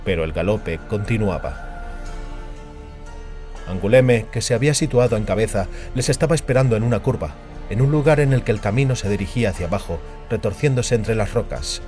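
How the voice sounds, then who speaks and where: Male audiobook narrator, low voice, dramatic storytelling delivery, soft music underneath. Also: a little fast.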